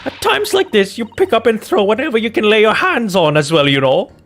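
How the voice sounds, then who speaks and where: Courtroom game housewife, acted by a man: high-pitched, pompous, indignant, quick to anger, hugely animated delivery. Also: faint music behind. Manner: flustered, pompous, huffy. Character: haughty, indignant, quick-tempered married woman in a courtroom. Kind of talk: dramatic video game character voicing.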